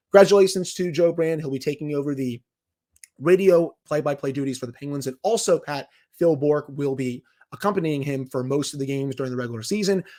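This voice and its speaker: A man co-hosting a sports podcast, giving a news rundown in an emphatic, announcer-like delivery. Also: on the high side. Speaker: podcast co-host. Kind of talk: sports podcast commentary, scripted-sounding intro and news rundown.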